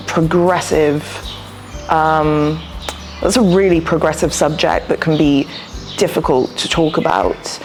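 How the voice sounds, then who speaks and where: A woman in her thirties answering interview questions thoughtfully, often pausing with "um" and "you know," recorded on a lapel mic indoors. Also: fairly low pitch, darkish tone.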